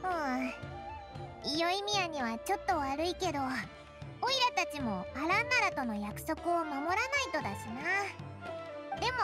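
A woman's voice: lively intonation, normal speed. A woman voicing a small, cheeky, eager fairy companion with a childlike voice and boyish, brash phrasing in an anime game. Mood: reassuring. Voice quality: squeaky, childlike. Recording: music behind the voice. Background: playful game music.

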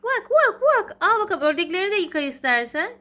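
High-voiced mother in playful child-directed talk. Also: very animated, a little fast, bright, forceful tone. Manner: encouraging, sing-song child-directed talk with repeated praise. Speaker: mother playing with her young child. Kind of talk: playful educational play narration for a small child. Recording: clearly noisy home recording with clicks.

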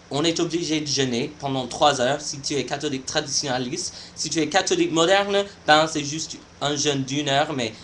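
Young man, French, shy halting vlogger, slightly high pitch. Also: a bit bright, slight background noise. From United States. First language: not French. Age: young adult. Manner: halting, searching for words, shy. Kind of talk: casual vlog monologue.

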